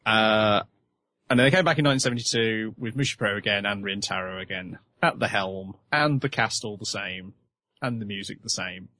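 A man's voice: lowish voice, a little fast, pushed and bright, no room sound. A man hosting a casual podcast chat, steering the topics and laughing often. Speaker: podcast host. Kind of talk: casual chat about animation.

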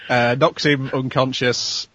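A man's voice: mid-range voice, fairly even intonation, slow pace, fairly bright tone, slightly rough, dry recording, highs cut off. Male podcast host, casual wry recap, slight voice loss, dry close sound. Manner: wry, giggly storytelling. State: losing his voice. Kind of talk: casual chat.

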